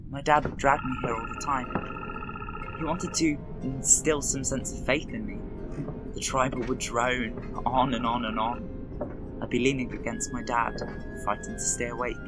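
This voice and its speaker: A man's voice: medium pitch, little pitch movement, a little slow, close to an everyday voice, rough recording. A man playing a down-to-earth travelling companion in a science fiction audio drama, sceptical but kind, with plain conversational delivery. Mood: reflective. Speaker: companion character. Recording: loud music behind voice.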